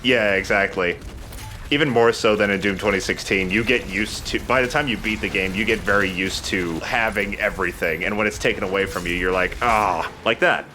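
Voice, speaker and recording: A man gives casual let's play commentary in a lowish, bright voice. He sounds lively in pitch, with faint noise behind him. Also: brisk.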